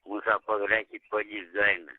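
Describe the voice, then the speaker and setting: A man's voice: on the low side, little pitch movement, normal speed, dry recording. A man chatting casually and privately on a phone call. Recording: muffled tinny phone call recording.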